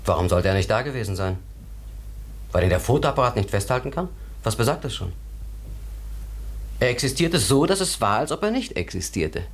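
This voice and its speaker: An actor playing a philosophical, thoughtful companion in a German radio drama, explaining things in a deliberate, contemplative way. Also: male voice, medium pitch, big pitch swings, husky. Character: philosophical man pondering another world, thoughtful companion.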